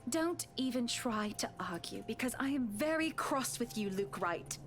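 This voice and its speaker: Proud, genteel lady of the house for a visual novel; emphatic, high voice, animated delivery. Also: moderate pace, faint music behind. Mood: cross, firm. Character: proud, lively, gracious wealthy married lady.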